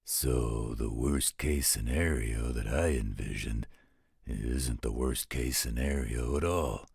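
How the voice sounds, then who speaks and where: A gruff, overprotective werewolf guardian in an audio roleplay. His voice is extremely deep and heavy with gravel. He grumbles along slowly, close and dry. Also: darkish tone, no room sound, full character voice. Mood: worried. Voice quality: gravelly, husky. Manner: slow, grumbling, protective. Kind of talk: roleplay audio drama acting.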